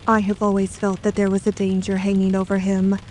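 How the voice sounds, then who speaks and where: A woman's voice: mid-range voice, monotone, a little fast, a little mellow, a little hiss or hum, natural voice. A woman playing a composed, guarded English wife of a murdered man in an audio drama, speaking earnestly and formally.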